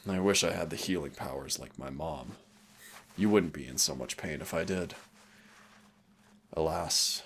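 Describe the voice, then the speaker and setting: A man playing a male demon roommate in an intimate audio roleplay, gravelly and smug, teasing and menacing yet tender as he speaks straight to the listener. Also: fairly bright tone, slight background noise, exaggerated voice. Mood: gentle. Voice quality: gravelly, low. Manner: slow, smug, teasing, addresses listener directly.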